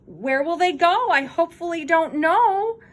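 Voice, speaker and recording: A woman's voice: very high-pitched, expressive, a little slow, voice pushed up. A woman voicing an indignant small storybook creature, scolding and pleading emphatically on behalf of animals. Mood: pleading, aggrieved. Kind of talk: storybook read-aloud.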